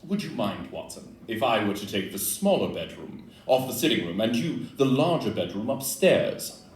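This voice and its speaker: A man playing a courteous, decisive Victorian gentleman detective in a stage audio play, speaking formally. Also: fairly low pitch, sing-song, normal speed. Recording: echoey room, distant mic, faint noise.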